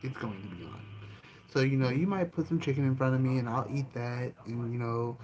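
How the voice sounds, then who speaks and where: A man hosting his own channel, answering questions about himself in a calm, easygoing way, with many ums and restarts. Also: mid-range voice, a little fast. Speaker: channel host and author. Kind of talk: casual self-interview answering questions. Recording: noticeable background ambience noise.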